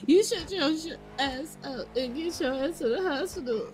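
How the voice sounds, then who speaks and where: A woman's voice: high voice, normal speed, darkish tone, a little hiss or hum. A woman playing a roleplay game, talking casually and emphatically in profane, slangy voice chat.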